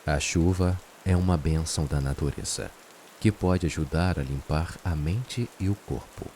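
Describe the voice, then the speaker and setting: A man with a Brazilian accent narrates a guided meditation in a soothing, unhurried way, leaving long pauses. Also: very deep voice. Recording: steady rain and hiss behind voice. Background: rain sounds.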